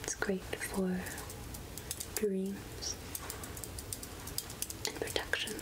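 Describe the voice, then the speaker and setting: A woman voicing a friendly, centuries-old witch of the woods in a soft-spoken ASMR roleplay, gentle and musing in a breathy whisper. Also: medium pitch, flat delivery, low-quality recording. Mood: gentle, helpful. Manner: slow, gentle, musing, archly addressing a mortal. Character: three-hundred-year-old witch of the woods, friendly, wryly curious.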